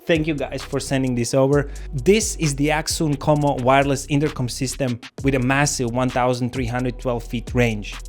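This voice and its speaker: A man with a medium-pitched voice presents a gear review as a channel host. He speaks casually and explains things step by step, addressing viewers directly. Soft music plays behind his voice. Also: steady tone, moderate pace. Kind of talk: casual scripted product review to camera. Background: background music.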